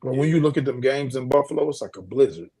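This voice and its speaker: A man's voice: mid-range voice, lively intonation, fast talker, a loud crowd. An American man in casual sports chat, slangy and emphatic, often repeating his phrases to press a point. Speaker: podcast co-host.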